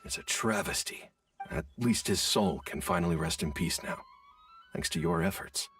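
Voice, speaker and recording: A man's voice: deep voice, lively intonation, normal speed, fairly bright tone, far from the mic, faint music behind. An actor playing an indignant, plain-spoken townsman in a fantasy video game, speaking with emphatic drama. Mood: bitter.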